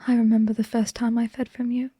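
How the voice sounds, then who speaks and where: A woman playing a devoted, tender and haunted vampire wife in a hushed, breathy, intimate ASMR bedtime monologue. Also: a little high, little pitch movement, no echo. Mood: fond, wistful. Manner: slow, tender, halting delivery with lingering pauses.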